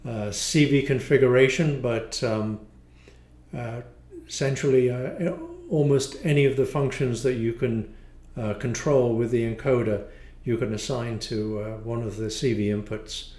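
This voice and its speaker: A man's voice: mid-range voice, steady tone, unhurried, darkish tone. Male, 60s, technical presenter, hesitant explanatory delivery, echoey small-room sound. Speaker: module designer presenting a demo. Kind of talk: explanatory product demo, semi-improvised. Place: small home studio room, indoors. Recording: roomy, echoey home room.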